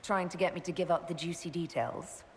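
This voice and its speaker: A woman's voice: fairly low pitch, fairly quick, slight background noise, close to an everyday voice. An actress playing a curious, dryly witty woman leader who talks in a chatty, lightly teasing way.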